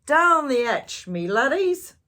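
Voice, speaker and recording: A woman's voice: sits high, sing-song, slow, pushed and bright, voice pushed up, full character voice. A woman voicing a jovial, bossy pirate captain who bellows hearty pirate talk for a children's storybook. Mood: bossy, commanding. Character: loud, blustering pirate captain, a grown man, jovial and bossy.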